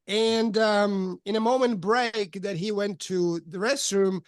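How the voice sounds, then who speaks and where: High, smooth-voiced man in his fifties. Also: fairly even intonation, dry recording, clean studio sound. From Switzerland, of Italian parents. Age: 50s. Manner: halting, many fillers and restarts, soft laughs. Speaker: podcast guest. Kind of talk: candid life-story interview.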